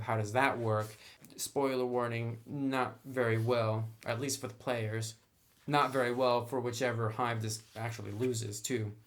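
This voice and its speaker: A man's voice: mid-range voice, a bit bright. A man giving a conversational review and explanation, stressing key words emphatically by drawing them out. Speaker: tabletop game reviewer.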